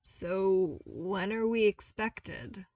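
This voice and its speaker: A woman's voice: medium pitch, extremely slow, a little mellow, no echo. A woman voicing an anxious, flustered young man with a hesitant, pleading manner in a fan fiction audiobook.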